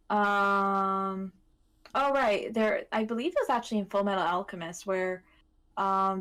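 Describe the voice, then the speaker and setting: A woman chatting casually as an anime panelist, pausing and searching her memory as she talks. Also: mid-range voice. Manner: hesitant, searching for recollections. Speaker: podcast panelist. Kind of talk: casual chat about anime.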